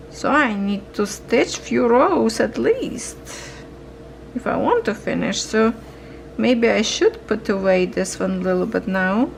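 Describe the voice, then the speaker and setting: Slow gravelly-voiced woman stitching and chatting. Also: mid-range voice, a little hiss or hum, poor audio. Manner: slow, musing, talks to viewers while working. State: losing her voice, coughing. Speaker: cross-stitch vlogger. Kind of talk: casual chat while stitching.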